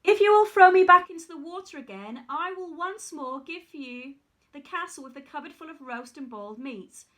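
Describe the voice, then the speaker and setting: A woman's voice: high voice, animated, normal speed, sharp tone. A woman voicing a magical talking golden fish while reading a fairy tale aloud. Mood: solemn. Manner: storybook read-aloud, precise.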